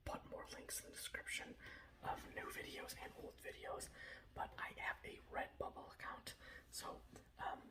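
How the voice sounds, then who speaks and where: A man's voice: roomy sound, recorded from a distance, rough recording. A man hosting ASMR, whispering throughout as he reads fantasy lore and chats, playfully stuttering and repeating words. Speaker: ASMR channel host.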